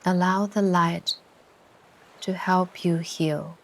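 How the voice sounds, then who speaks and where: A woman narrating a guided meditation in a soothing, gentle way, with long pauses between short instructions. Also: fairly low pitch, fairly even intonation, low-quality recording. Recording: ocean surf sounds behind the voice. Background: ocean waves ambience.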